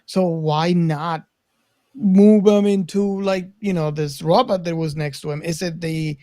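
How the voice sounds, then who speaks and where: A man co-hosting a casual film discussion, reasoning aloud in a deliberate way. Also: fairly high pitch, steady tone, warm tone. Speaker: podcast co-host.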